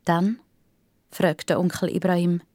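A woman with a Swiss German accent narrating a children's story in simple, deliberate phrases. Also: fairly low pitch, level tone, a little mellow.

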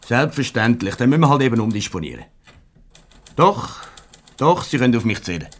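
An actor playing a grumpy, curt office boss in a 1970s radio comedy, brusque and grumbling, with a Swiss German accent. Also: male voice, medium pitch, sing-song, brisk, a bit bright. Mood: dutiful, flustered.

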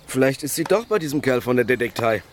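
An actor playing a jealous, reluctant accomplice and secret lover in a radio crime drama, speaking tersely and reproachfully. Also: male voice, mid-range voice, animated, quick pace, slight background noise, natural voice. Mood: probing, casual.

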